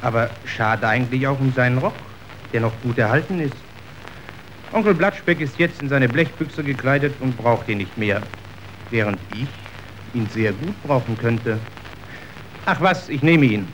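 An actor playing a younger, down-and-out worker, servile and pragmatic, in a radio drama. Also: male voice, medium pitch, expressive, moderate pace, slight background noise, close to an everyday voice, poor audio. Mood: servile, musing.

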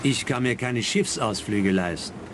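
A man's voice: mid-range voice, a little slow, music in the background, close to an everyday voice. A man playing a curt, impatient and haughty businessman in a radio play, brusque and dismissive. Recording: loud outdoor boat ambience behind. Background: boat deck ambience.

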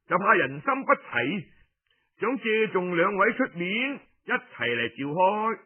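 Guy storyteller doing a pushy old-time chinese duke, high voice, slow and full of himself, very formal. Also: narrow, tinny audio, full character voice. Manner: pompous, formal, presumptuous. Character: self-important, pushy ancient Chinese duke, male ruler.

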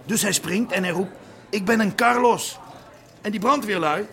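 A man's voice: sits high, moderate pace, gravelly, sharp tone, heavily acted. A man playing a chatty, officious desk policeman in a radio drama. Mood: jolly.

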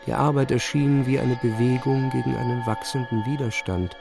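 An actor playing an obsessive, haunted young physicist, narrating his own story in an introspective, halting, intense way. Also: mid-range voice, steady tone, gravelly, over music, lightly acted. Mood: absorbed, brooding. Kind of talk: first-person narration in a literary audio drama.

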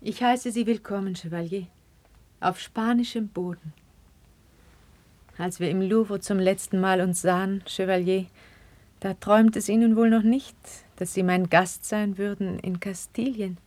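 A woman playing a gentle, dignified young queen in 1950s classical radio drama, with measured, formal stage diction. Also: mid-range voice, fairly even intonation, no echo, natural voice. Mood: gracious, guarded. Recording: dry 1959 radio drama recording.

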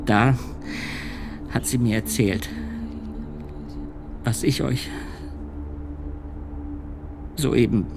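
A man playing a sombre male witness testifying at a trial in a radio drama, telling his account in a measured, hushed way, with music underneath. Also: mid-range voice, fairly even intonation, gravelly. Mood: grave. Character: male church usher, a grave witness.

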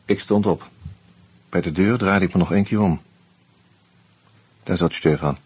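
A man's voice: low voice, moderate pace, a little mellow, breathy edge, no echo. An actor playing a wry, weary man who narrates his own murder mystery in a radio play, subdued and a little hesitant. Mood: subdued, reflective. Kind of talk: first-person radio drama narration and dialogue.

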